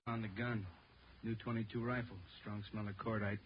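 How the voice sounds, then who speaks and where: An actor playing a businesslike police officer giving brief, factual reports in a 1950s radio drama. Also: male voice, on the low side, level tone, moderate pace, close to an everyday voice. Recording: dull, noisy old radio recording.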